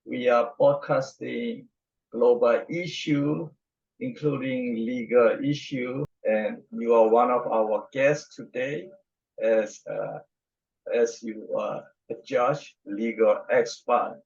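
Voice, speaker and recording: Slow gravelly male podcast host. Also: medium pitch, steady tone, darkish tone. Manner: slow, hesitant phrasing with fillers. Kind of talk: interview questions. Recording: dull lo-fi audio.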